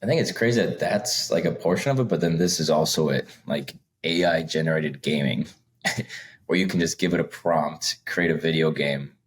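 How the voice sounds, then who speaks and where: A man's voice: extremely low pitch, normal speed, husky. A young man co-hosting a podcast, rambling casually with lots of fillers and laughs between points. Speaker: podcast co-host. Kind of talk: casual chat.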